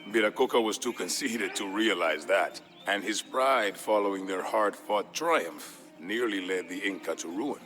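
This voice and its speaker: A man narrates a dramatic historical story in a fairly low voice. His delivery is measured and solemn, and music plays softly behind him. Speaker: narrator of a game campaign storyline.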